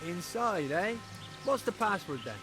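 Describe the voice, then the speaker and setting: High-voiced, suspicious gate guard. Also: male voice, expressive, measured pace, creaky, music in the background, exaggerated voice. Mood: suspicious, brusque. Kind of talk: dramatic video game acting.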